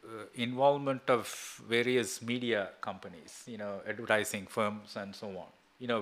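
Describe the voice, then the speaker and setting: A middle-aged man from Sri Lanka answering at an academic seminar, haltingly, with many filler tags like a habitual check-in. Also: lowish voice, a bit bright. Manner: halting, with frequent "you know" and "right?" tags. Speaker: seminar speaker. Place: small seminar room indoors. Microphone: gooseneck table microphone.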